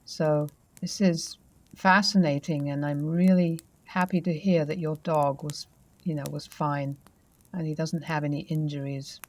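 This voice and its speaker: A woman host reading a first-person paranormal story aloud and then chatting about it in a calm, measured way. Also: low-pitched, slight background noise. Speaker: host and narrator.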